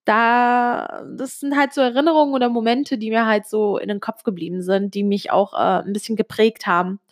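A young woman from Switzerland whose first language is Italian, speaking in a slightly raised voice. She confides her own story directly to the listener, pausing often with small fillers as she talks. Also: fairly high pitch, steady tone. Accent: Italian-Swiss German speaker with little Swiss accent. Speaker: vlogger. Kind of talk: personal storytelling to camera.

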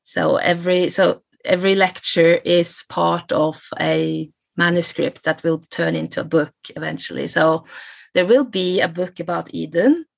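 A woman's voice: fairly low pitch, normal speed, vocal fry, dry recording. A middle-aged woman from Norway with a Norwegian accent, speaking English as a guest lecturer in a casual interview, thoughtful and hesitant, often restarting and laughing. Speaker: guest, lecturer on Norse myth.

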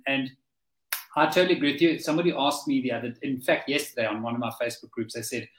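A man's voice: medium pitch, reverberant, poor audio. A man hosting a podcast interview over a video call, talking in a conversational, thoughtful way. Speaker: podcast host. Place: home studio on a video call.

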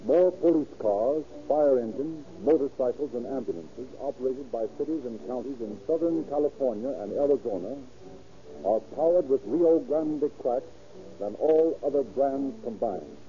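A man's voice: medium pitch, lively intonation, moderate pace, a little hiss or hum. A man announcing and narrating a scripted 1930s radio program in a formal, declamatory way. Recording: dull, lo-fi old radio recording.

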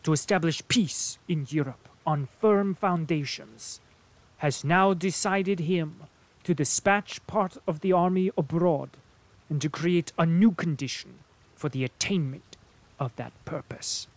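A man reading aloud voices a stout, patriotic German colonel who declaims loudly and pompously. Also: on the high side, a little slow, pitched above the performer's own voice. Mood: blustering. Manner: loud, emphatic, pompous declaiming.

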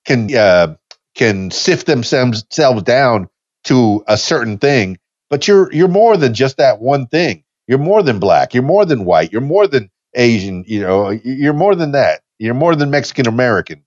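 A man's voice: mid-range voice, very animated, a little slow, no echo, lo-fi sound. A man in his fifties hosting a casual podcast chat, easygoing and rambling, with frequent restarts. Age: 50s. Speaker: podcast host.

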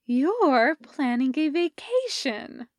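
A woman's voice: sits high, sing-song, slow pace, no room sound, clean studio sound, voice pushed up, exaggerated voice. A woman voicing a gracious, affectionate, fashion-savvy woman friend in an effusive, lilting, refined way for a story. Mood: musing, affectionate.

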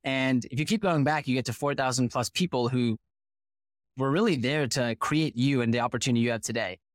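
Man, 30s, founder-guest from Boston, mid-pitched, fluent storyteller, dry close sound. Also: a little fast, no echo. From United States (Boston). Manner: fluent, animated storyteller who poses rhetorical questions. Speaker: podcast guest, company founder. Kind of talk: casual interview storytelling.

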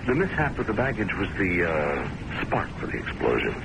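Low-voiced probing psychiatrist, vintage radio. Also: male voice, wildly swooping pitch, gravelly, full character voice. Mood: insistent. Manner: measured, probing, hesitant at times. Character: measured, probing male psychiatrist, guarded and professional. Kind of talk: old-time radio drama acting. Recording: noisy old radio recording.

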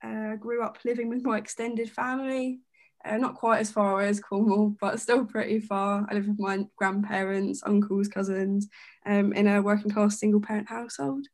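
A woman's voice: mid-range voice, little pitch movement, moderate pace, darkish tone. A young woman from Kent, a first-year university student, chatting easily as a podcast guest with a few fillers. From Kent, England. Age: young adult. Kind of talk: casual podcast chat.

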